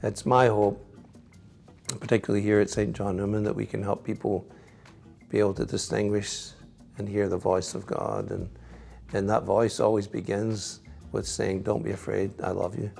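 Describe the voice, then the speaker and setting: Low gravelly Scottish priest. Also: male voice, level tone, moderate pace, darkish tone, faint music behind. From Scotland. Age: middle-aged. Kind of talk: reflective interview answers.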